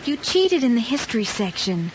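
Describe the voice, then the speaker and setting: Earnest, honest schoolgirl, higher voice, old film drama with muffled sound. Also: sing-song, moderate pace, a little hiss or hum. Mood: earnest, confronting. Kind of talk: mid-century American screen drama acting.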